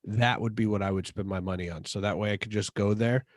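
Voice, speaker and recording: A man's voice: fairly low pitch, steady tone, fast, no echo, studio quality. A man in his twenties with an American accent, bantering casually and slangily as a podcast co-host. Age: 20s. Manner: slangy, buddy-like, addressing others as bro and dude.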